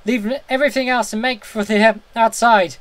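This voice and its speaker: A reader acts out a terrified man in a horror tale, his voice pushed high and loud. He is despairing and frantic, urgently crying warnings to his friend to get away. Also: fairly even intonation, a little fast, dry recording. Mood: frantic, pleading. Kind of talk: dramatic horror story reading.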